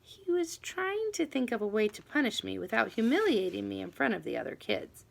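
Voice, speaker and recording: A woman narrating a children's book expressively, with animated, dramatic emphasis on key words. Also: on the high side, a little fast, gravelly. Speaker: audiobook reader.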